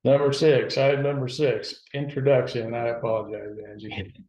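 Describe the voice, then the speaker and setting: A man leading a town board meeting, talking haltingly with many restarts. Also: medium pitch, a little mellow. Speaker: presiding board trustee. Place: town hall meeting room. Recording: dull lo-fi meeting recording.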